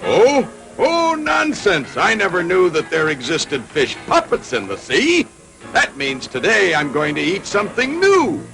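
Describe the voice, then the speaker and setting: A man's voice: sits high, wildly swooping pitch, unhurried, sharp tone, faint music behind, full character voice, low-quality recording. A man voicing a monstrous, gluttonous green fisherman in a dubbed cartoon, drawling theatrically with false courtesy. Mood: gloating, curious.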